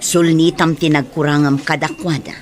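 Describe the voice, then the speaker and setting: A woman's voice: sits low, flat delivery, moderate pace, a little mellow, slight background noise, lightly acted. An actress with a Filipino Ilocano accent playing a concerned, frank mother counselling others in an audio drama. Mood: reproachful.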